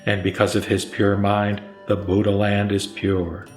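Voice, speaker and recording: Low-voiced man solemnly narrating scripture. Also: monotone, unhurried, darkish tone, faint music behind. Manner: solemn, formal recitation. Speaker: narrator and sutra reciter.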